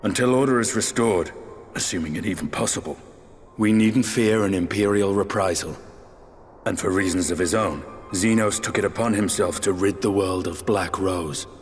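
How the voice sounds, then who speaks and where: A low-voiced, gruff lancer acted by a man from a fantasy video game. He is aloof and dry, choosing terse, old-fashioned formal words. His voice rings with long reverb, and music plays behind him. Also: moderate pace, natural voice. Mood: grave, matter-of-fact. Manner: terse, formal archaic phrasing. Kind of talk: fantasy game cutscene acting.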